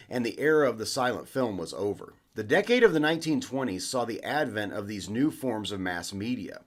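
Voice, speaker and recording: Animated American man explaining history. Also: medium pitch. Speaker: host of educational history videos. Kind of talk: scripted educational narration.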